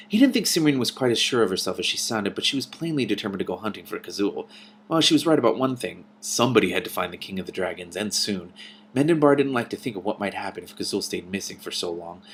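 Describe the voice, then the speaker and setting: A man reading a novel aloud and adding his own commentary, with an expressive, animated delivery. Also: sits low, slight background noise. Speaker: reader and commentator.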